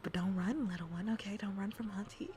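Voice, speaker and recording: A woman's voice: mid-range voice, a little fast, mellow, faint music behind, lightly acted. A woman voicing a mock-sinister predatory auntie who makes whispered threats. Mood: mock menacing. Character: menacing predatory auntie teasing a young man.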